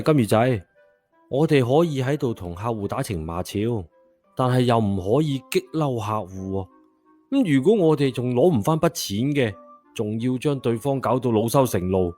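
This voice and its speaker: A man's voice: mid-range voice, animated, moderate pace, warm tone, faint music behind, close to an everyday voice. A man playing a flippant, worried debt collector in an audio drama, talking in colloquial slang.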